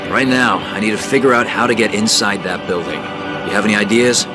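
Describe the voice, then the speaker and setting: A man's voice: fairly low pitch, fairly quick, music in the background, close to an everyday voice. A man playing a determined resistance commander in a war video game, speaking in terse orders. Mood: commanding, focused. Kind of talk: video game cutscene acting.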